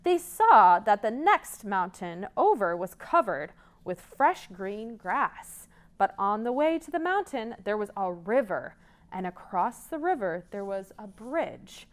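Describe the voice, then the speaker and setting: A woman's voice: mid-range voice, big pitch swings, takes it slowly. A woman hosting a children's storytime, telling stories interactively and coaching young listeners along with questions. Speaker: children's librarian, storytime host.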